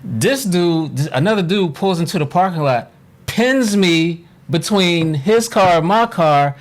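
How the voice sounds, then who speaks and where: A man hosting a casual podcast, with a voice a little higher than most men's. He tells stories in a relaxed way and drops in short questions and asides. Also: measured pace. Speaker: podcast host.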